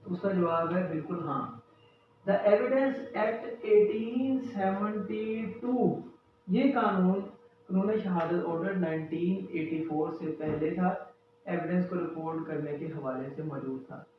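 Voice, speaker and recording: A man's voice: sits high, measured pace, phone-quality sound. A man with a Pakistani accent giving an instructional lecture in a didactic manner, repeating key phrases for clarity. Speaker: lecturer and advocate explaining law. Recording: very echoey room, distant mic, faint noise.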